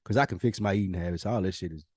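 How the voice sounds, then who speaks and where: Fast-talking, blunt, low-voiced man. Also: husky, no echo, clean studio sound. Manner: blunt, profane, animated when riled. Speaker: podcast co-host. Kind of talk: casual chat.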